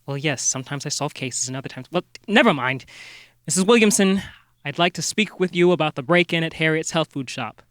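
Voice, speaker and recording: An actor playing an earnest, polite small-town amateur detective who talks in a halting, folksy way, in a comic radio-drama style. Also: male voice, a little high, expressive, a bit bright. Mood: businesslike, halting.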